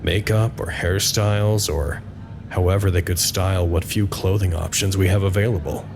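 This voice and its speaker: An actor playing a reflective, gentle facility worker, narrating an audio drama log in a measured, formal, introspective way. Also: male voice, low voice, fairly even intonation, gravelly, background noise. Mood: pensive.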